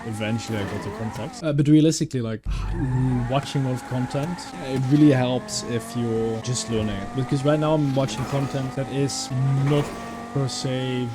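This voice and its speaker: A man's voice: medium pitch, fairly even intonation, moderate pace. A young man with a Dutch-German accent, talking casually and rambling with many fillers while he plays a driving game. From Netherlands. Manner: rambling, chatty, many fillers and self-corrections. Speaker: gaming YouTuber. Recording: game car engine noise behind. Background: racing car engine and game sounds.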